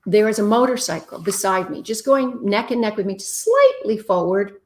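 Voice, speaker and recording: Warm-voiced woman giving frank relationship advice. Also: medium pitch, sing-song, normal speed. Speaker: relationship advice show host. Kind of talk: live-stream Q&A, reading viewer questions.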